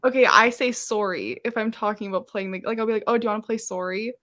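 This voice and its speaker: A woman's voice: medium pitch, fast, no echo, clean studio sound. A Canadian woman with a Canadian accent, telling stories animatedly in a casual video-call chat. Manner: animated storyteller.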